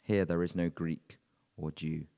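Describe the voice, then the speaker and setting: Man, very deep voice, gravelly, measured scripture reading, long church reverb. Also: flat delivery, mellow. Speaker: scripture reader and prayer leader. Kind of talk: Bible reading and prayer. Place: church hall.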